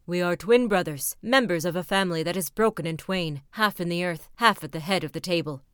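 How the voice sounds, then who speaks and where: A woman's voice: on the low side, a little fast, clean tone, dry recording, clean studio sound, natural voice. A woman voicing a proud, solemn young twin prince in a fairy tale, speaking formally and declamatorily. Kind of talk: storybook character voice.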